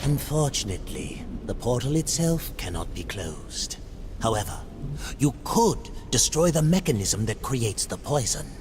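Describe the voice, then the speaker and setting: An actor playing a scheming, cautious demonic advisor in a game, speaking in a formal, ingratiating, theatrical manner. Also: male voice, mid-range voice, very animated, a little slow, noisy background. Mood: cautious, deferential. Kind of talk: video game cutscene acting.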